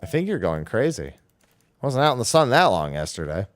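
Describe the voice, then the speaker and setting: Animated man streaming over game music. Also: mid-range voice, a little fast. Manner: playful, mimics game characters' lines. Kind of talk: casual livestream commentary.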